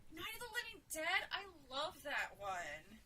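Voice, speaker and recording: Woman putting on a little boy, excitable, boastful, bright piercing tone, high pitch, story character. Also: a little slow, distant mic, a little hiss or hum, full character voice. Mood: excited. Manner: boastful, childlike. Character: excitable little boy who loves zombie movies.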